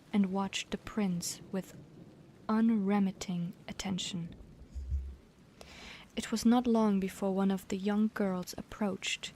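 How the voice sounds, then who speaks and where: A woman streamer reads a bedtime tale slowly and unhurriedly, her accent leaning toward British English. Her delivery is soft and often drops to a gentle half-whisper. Her voice sits at an ordinary middle pitch. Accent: British-leaning English learned as a second language. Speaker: streamer reading stories aloud. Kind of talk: slow bedtime storybook reading.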